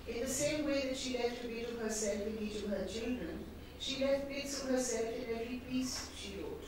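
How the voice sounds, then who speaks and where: Older woman, measured, reflective, distant echoey hall, noisy. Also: a little high. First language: Hindi. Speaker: author in conversation. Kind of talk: reading aloud then conversational talk. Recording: echoey hall picked up from far off with background noise.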